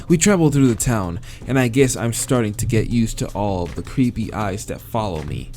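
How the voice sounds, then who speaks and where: A man's voice: lowish voice, dark tone. A man reading a visual novel aloud, breaking off to swear and laugh, with game music behind. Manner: swears and laughs between read lines. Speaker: let's play reader.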